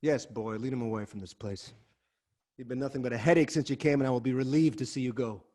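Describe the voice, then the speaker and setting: A man's voice: mid-range voice, quick pace, a little mellow, natural voice. An actor playing a proud, hot-tempered king in a dramatic reading over a video call, scornful and commanding. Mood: defiant impatience. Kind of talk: Zoom dramatic reading of a Greek tragedy.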